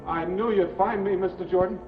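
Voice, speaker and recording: Slow, eerie, high distant voice calling. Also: male voice, fairly even intonation, over loud music. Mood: eerie, urgent. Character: trapped injured man calling eerily from darkness. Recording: distant, echoey, dull 1950s television soundtrack.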